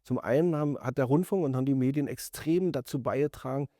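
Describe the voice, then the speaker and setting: A man in his fifties from East Germany, reflecting and commenting in an interview, halting yet emphatic as he piles up rephrasings. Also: medium pitch, warm tone, no echo, clean studio sound. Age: 50s.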